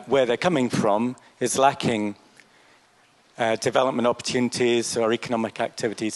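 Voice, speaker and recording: A man in the audience asking an earnest, argued question. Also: mid-range voice.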